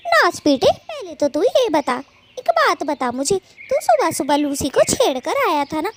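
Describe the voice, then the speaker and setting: A tiny, very high voice with lively swoops belongs to a feisty cartoon bird wife. She scolds dramatically and with a sharp tongue, over a noisy recording. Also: unhurried, exaggerated voice. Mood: angry scolding. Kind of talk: Hindi children's cartoon story dialogue.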